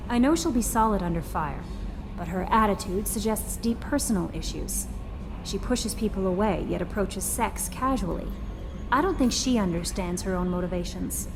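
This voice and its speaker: Woman as a gentle, perceptive starship crew assistant. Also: mid-range voice, expressive, moderate pace, over music, natural voice, rough recording. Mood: thoughtful. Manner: gentle, analytical, chatty. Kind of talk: video game dialogue acting.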